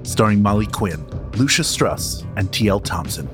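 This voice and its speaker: A man's voice: on the low side, animated, normal speed. A man reading a scripted, dramatic trailer narration. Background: music under the voice.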